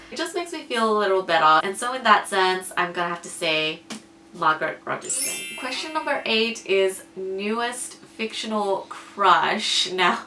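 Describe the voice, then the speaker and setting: A woman's voice: mid-range voice, sharp tone. A woman book vlogger chatting casually to camera in a self-conscious, slightly giggly way. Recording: roomy echo, distant from mic.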